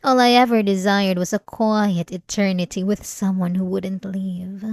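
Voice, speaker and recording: Girl acting as ancient vampire lady, obsessive and possessive, slow silky menace, super dry close-up asmr sound. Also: mid-range voice, darkish tone, no echo, natural voice. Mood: wounded, cold. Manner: slow, silky, condescending endearments, teasing menace. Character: centuries-old female vampire, obsessive, possessive, sweetly menacing lover. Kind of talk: ASMR roleplay, dramatic monologue. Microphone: close, dry studio-style mic.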